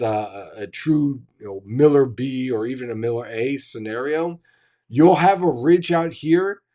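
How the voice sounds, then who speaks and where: A man who hosts a live weather chat, teaching how storms build and answering viewer questions, his voice going hoarse. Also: medium pitch, dark tone. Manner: explanatory, teacherly, asks "right?" often. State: losing his voice. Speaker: meteorologist hosting a live chat. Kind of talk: live-stream weather explanation and Q&A.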